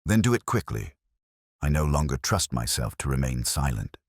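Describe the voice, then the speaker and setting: A man voicing a fearful, guilt-ridden man in an audiobook mystery, speaking gravely and uneasily. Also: rumbling low, very animated, moderate pace, dry recording, studio quality, full character voice. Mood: weary, troubled. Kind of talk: audiobook character dialogue.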